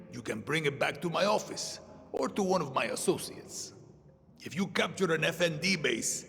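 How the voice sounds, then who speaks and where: A man playing a cocky, cynical old guerrilla weapons maker in a video game, with a gravelly voice and a sardonic, swaggering, drawn-out delivery. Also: mid-range voice, fairly bright tone. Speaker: arms supplier and mentor. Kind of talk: video game character acting. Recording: long reverb, music behind. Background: music.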